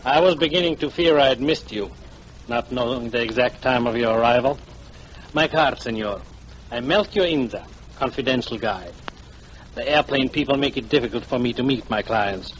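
An actor playing a fat, weary, self-styled guide in a 1940s radio drama, wordy and ingratiatingly polite. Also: male voice, medium pitch, normal speed, natural voice. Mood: unctuous, eager. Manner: florid, wordy, over-polite. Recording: noisy vintage 1940s radio recording.

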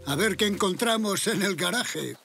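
A man playing a kindly, playful old grandfather zebra in a children's cartoon dub, gently jovial and full of exclamations. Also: high voice, animated, normal speed, creaky, faint music behind, full character voice. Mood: helpful, cheerful.

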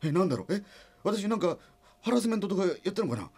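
A man's voice: sits high, sing-song, quick pace, darkish tone, breathy edge, no echo, heavily acted. An actor playing a sheepish, well-meaning middle-aged company president in a comedic radio drama, stammering and repeating his words when flustered. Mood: shocked, panicky.

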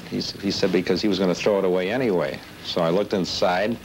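A middle-aged American man casually telling anecdotes about his collection on an old videotape. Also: low voice. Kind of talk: casual anecdotal show-and-tell. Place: indoors among crowded shelves. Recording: faintly noisy old videotape.

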